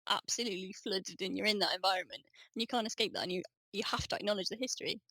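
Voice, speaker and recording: A woman's voice: mid-range voice, rapid-fire, sharp tone, no room sound. A young woman in her twenties, a student guest on a podcast, talking enthusiastically and casually, full of "um" and "like". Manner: enthusiastic, chatty, frequent "um" and "like". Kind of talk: casual conversational interview.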